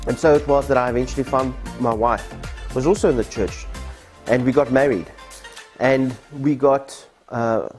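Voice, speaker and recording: A man's voice: medium pitch, normal speed. A South African man in his forties, a native Afrikaans speaker, telling his church testimony in a candid, conversational way with frequent fillers. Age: 40s. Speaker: church member giving a testimony. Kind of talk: personal testimony. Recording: soft music behind.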